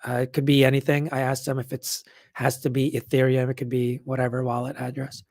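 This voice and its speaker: Hoarse man hosting a casual live Q&A. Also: mid-range voice, completely flat delivery, brisk, darkish tone, dry recording, studio quality. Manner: rambling, many fillers and restarts, reads out comments. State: hoarse, losing his voice. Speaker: event organizer hosting a live update. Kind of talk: casual livestream Q&A.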